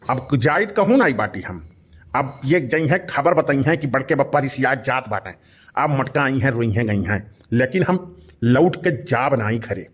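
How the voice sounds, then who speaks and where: A man's voice: mid-range voice, animated, moderate pace, husky, dull audio. A man voicing a grumpy henpecked village uncle in a comedy cartoon, complaining and blustering with an Awadhi accent. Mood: scheming. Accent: Awadhi, eastern Uttar Pradesh.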